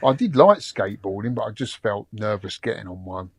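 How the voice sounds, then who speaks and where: A British man co-hosting a podcast, relaxed and easygoing as he chats and reads out listener comments. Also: fairly low pitch, brisk, breathy edge, no room sound. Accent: British English. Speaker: podcast co-host. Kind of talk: casual chat, reading listener comments.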